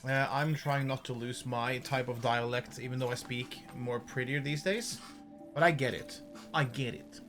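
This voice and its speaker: Male streamer, casual and jokey, over clear game music. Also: mid-range voice, fairly even intonation, normal speed, fairly bright tone. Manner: joking, reads game text aloud. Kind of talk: casual gaming commentary.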